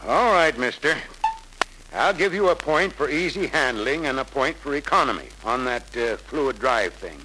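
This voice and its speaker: A man's voice: medium pitch, lively intonation, moderate pace, natural voice. A man playing a skeptical, folksy older country customer in a 1950s sales film, blunt and grudging. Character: gruff skeptical older road-building customer, a country squire. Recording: noisy 1950s film soundtrack.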